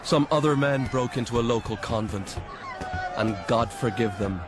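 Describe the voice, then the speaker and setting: A man's voice: medium pitch, normal speed, over music, crowd noise, close to an everyday voice. An actor playing a plain-spoken, shaken army corporal, telling his war story earnestly and emphatically in a radio drama. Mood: grim, regretful. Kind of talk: dramatic radio monologue, memoir testimony. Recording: noisy radio drama recording.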